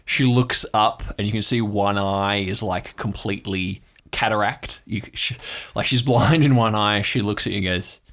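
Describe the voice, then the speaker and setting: Low-voiced man running a tabletop game. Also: normal speed, a bit bright. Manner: descriptive, conversational storytelling. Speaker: game master. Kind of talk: improvised narration of a tabletop game.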